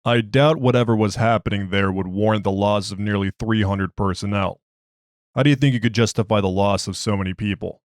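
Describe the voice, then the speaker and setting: A man's voice: on the low side, brisk, no echo, studio quality, close to an everyday voice. A man voicing a skeptical research doctor who questions a prisoner in formal, clipped, dismissive speech. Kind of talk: dramatized interview log.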